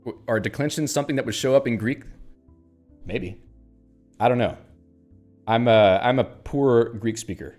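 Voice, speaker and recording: A man's voice: mid-range voice, normal speed, long pauses, a little mellow, soft background music. A man hosting a casual livestream, chatting in a rambling way with fillers and restarts and reading out viewer comments. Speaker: livestream host.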